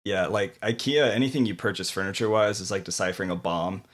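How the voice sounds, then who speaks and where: Podcast host, man, from Ohio, upbeat and casual, lowish voice. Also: quick pace. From Ohio, USA. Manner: friendly and enthusiastic, says "dude" and "man". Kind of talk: casual interview chat.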